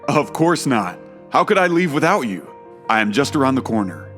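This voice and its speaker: A woman putting on a cold, powerful young billionaire man, speaking far below her own voice in a quiet, intimate hush. The tone sounds a little distant from the mic, and faint music plays beneath it in this audio drama. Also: extremely low pitch, very animated, moderate pace, voice pushed down, full character voice. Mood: calm.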